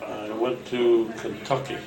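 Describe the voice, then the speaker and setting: A man, telling his life story in a rambling, halting way with many restarts. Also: lowish voice, flat delivery, vocal fry, crowd noise. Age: 80s. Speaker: World War Two veteran recounting family history. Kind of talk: casual oral history interview. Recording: roomy home recording with faint hiss.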